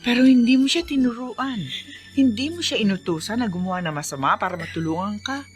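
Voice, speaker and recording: An actress playing a concerned, consoling friend in a Filipino radio drama, speaking earnestly with a Filipino accent. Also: female voice, fairly high pitch, fairly quick, soft background music, lightly acted. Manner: reassuring, earnest. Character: concerned, consoling woman friend of a troubled mother.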